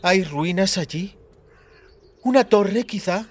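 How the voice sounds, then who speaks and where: A man voicing a quiet, calm and brooding young woman traveler, speaking deliberately. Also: high-pitched, pitched above the performer's own voice, exaggerated voice. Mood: inquiring. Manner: soft-spoken, deliberate.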